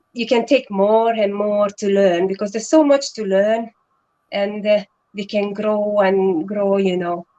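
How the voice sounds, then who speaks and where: Woman, earnest and halting. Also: medium pitch, a little mellow, low-quality recording. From Hungary. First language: Hungarian. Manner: earnest, many fillers and restarts. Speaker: guest, online business partner. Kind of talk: casual live-stream chat.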